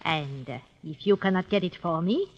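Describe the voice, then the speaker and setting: Cold, mocking blackmailer duchess, swooping delivery. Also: female voice, on the low side, animated, normal speed. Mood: coldly demanding. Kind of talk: 1950s radio drama acting. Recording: muffled 1950s radio recording.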